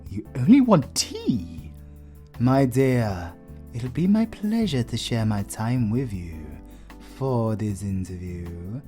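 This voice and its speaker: A man acts out a courtly British vampire lord for an audio roleplay. He is suave and flirtatious, with theatrical, widely swinging intonation and an unhurried pace. He teases the listener playfully and genteelly, with faint music behind him. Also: medium pitch, mellow. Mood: gracious, hospitable.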